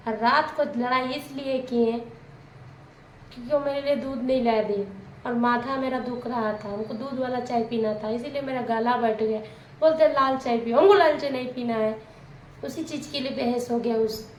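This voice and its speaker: A young woman, hoarse from a sore throat, chatting candidly in a village vlog while she cooks. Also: a little high, steady tone, normal speed, roomy sound, slight background noise, low-quality recording. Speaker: vlogger. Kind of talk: casual vlog narration while cooking. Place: village home kitchen.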